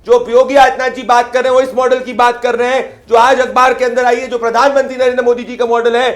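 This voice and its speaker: A man's voice: extremely high pitch, completely flat delivery, quick pace, echoey room. A man speaking as a party spokesperson in a TV news debate, combative and reeling off figures and numbered points. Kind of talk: televised political debate.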